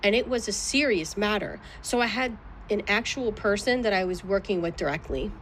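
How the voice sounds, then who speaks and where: A woman's voice: mid-range voice, normal speed, a bit bright, a little hiss or hum. A woman answering questions in a legal deposition in a guarded, clipped manner. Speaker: plaintiff being deposed.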